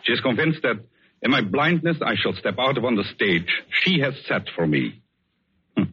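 An actor playing a vengeful, obsessed painter feigning tenderness, in measured, hesitant 1940s radio suspense style. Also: male voice, medium pitch, very animated, slightly rough. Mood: cold hatred. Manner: measured, theatrical, hesitant pauses. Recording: dull old radio recording, music behind.

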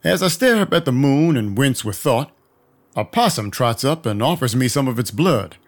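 An actor playing a wry vampire detective who narrates in a dry, measured, noir manner. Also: male voice, medium pitch, lively intonation. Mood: wry, matter-of-fact. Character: weary, wry male vampire detective narrating his night. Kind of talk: first-person noir scripted narration.

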